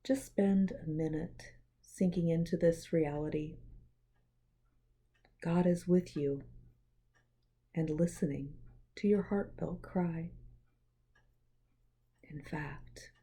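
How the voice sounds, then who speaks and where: A woman leads a guided meditation in a lowish, husky voice. Her tone is very mellow and relaxed. She speaks gently and in a measured way, leaving breathy pauses between phrases. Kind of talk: guided meditation, soothing scripted narration.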